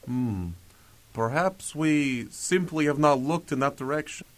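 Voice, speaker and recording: An actor playing a calm, formal strongman superhero with measured speech in an audio drama. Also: fairly high pitch, natural voice.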